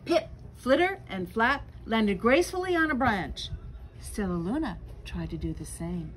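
Older female storyteller, 60s, high voice, unhurried dramatic picture-book delivery, noisy room. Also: expressive. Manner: slow, dramatic, deliberate phrasing. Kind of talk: expressive read-aloud for children with asides. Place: small bookstore, indoors. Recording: clear background room noise.

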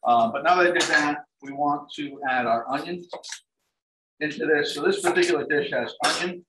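A middle-aged chef giving a live cooking demonstration in a large home kitchen. He explains each step in a relaxed way, with plenty of "um" and "like I said". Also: a little high, moderate pace. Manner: relaxed, step-by-step instructions with frequent "um" and "like I said". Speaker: chef and dining services director. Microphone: fixed camera across the counter. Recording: echoey kitchen, voice from a distance, faint hiss. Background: turkey sizzling in a pan.

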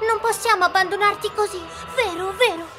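A woman acts an eager cartoon magical girl. Her voice is extremely high, earnest and theatrical, playing every line for drama, with music clearly audible behind. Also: fairly quick. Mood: earnest, dramatic. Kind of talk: amateur Italian anime fandub acting.

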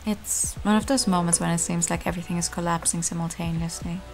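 A woman's voice: on the low side, fairly even intonation, fast talker, creaky. A woman playing a reserved, melancholy female driver who speaks formally and precisely in a fan-dubbed visual novel. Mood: reserved, somber.

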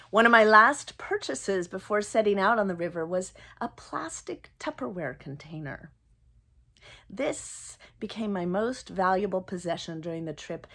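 A woman in her fifties reading her own first-person story aloud expressively, with measured delivery and dramatic pauses. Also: fairly low pitch, fairly bright tone. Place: small indoor room, plain wall.

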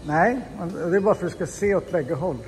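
Upbeat high-voiced man in echoey workshop. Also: a little mellow, soft background music. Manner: upbeat, encouraging, coaching. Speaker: vlog host, garage owner. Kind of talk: casual workshop vlog chat. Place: large car workshop, indoors.

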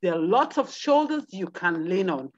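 Motivational woman with a lively voice. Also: on the low side, moderate pace. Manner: motivational, emphatic. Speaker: attendee. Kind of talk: motivational remarks. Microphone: video call. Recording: dull video call audio.

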